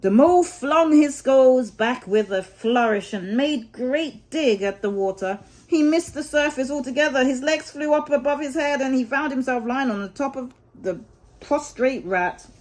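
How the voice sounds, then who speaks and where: A woman's voice: high voice, expressive, normal speed, darkish tone. A woman storyteller reading a children's storybook aloud expressively, dwelling on key words for dramatic emphasis.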